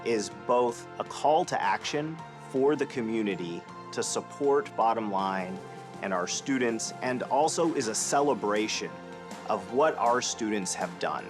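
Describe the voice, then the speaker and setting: A man speaking earnestly in a nonprofit promo video. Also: lowish voice. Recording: voice over background music.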